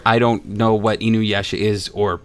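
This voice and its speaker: A man's voice: lowish voice, flat delivery, moderate pace. A young man co-hosting a radio show, joking in a wry way and fussy about grammar. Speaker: radio co-host. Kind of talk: casual radio chat. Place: small radio studio.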